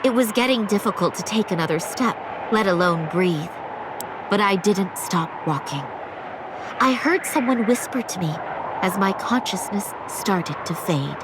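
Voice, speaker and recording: Woman acting a slow-spoken, earnest young vampire heiress. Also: mid-range voice, animated, noisy background, natural voice. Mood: dazed, weary. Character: determined young female vampire heir seeking her sister. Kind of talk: dramatic game voice acting with narration.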